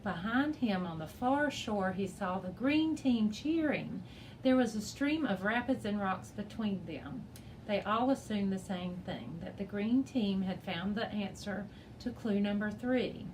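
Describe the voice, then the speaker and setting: Woman, mid-pitched, expressive storybook read-aloud, teacher, faint background noise. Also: measured pace, poor audio. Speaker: teacher reading a children's chapter book aloud.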